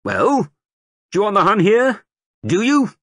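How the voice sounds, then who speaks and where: A blustering, barrel-chested army sergeant major, as a man reads him aloud, booming out a rousing call to arms. His voice swings widely up and down as he harangues the crowd. The sound is close and dry, with no room around it. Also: huge pitch swings, measured pace, fairly bright tone, no echo, full character voice. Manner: booming, rousing recruiting speech.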